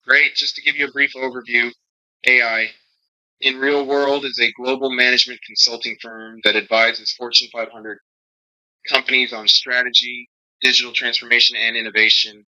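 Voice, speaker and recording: A man's voice: medium pitch, level tone, normal speed, sharp tone, dull audio. A man's voice as a recruiter, conducting a scripted screening call in a polite, formal manner over band-limited phone audio.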